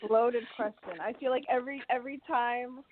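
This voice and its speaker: A woman in her twenties from Canada, a guest chatting in a lively, easy way on a radio talk. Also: on the high side, brisk. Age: 20s. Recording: tinny phone line with faint hiss.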